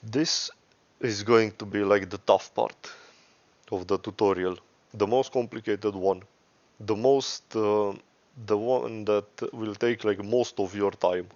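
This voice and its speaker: A man giving a casual, unscripted software tutorial in a rambling way, swearing and correcting himself as he goes. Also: on the low side, fairly even intonation, takes it slowly, dry recording, dull audio. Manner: rambling, profane, self-correcting. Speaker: tutorial presenter.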